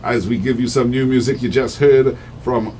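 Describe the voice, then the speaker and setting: A man's voice: mid-range voice, fairly even intonation, normal speed, a little mellow, slight background noise. A man with a Trinidadian accent, hosting a casual radio show and talking effusively with his studio guest. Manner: effusive, enthusiastic. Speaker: radio DJ host.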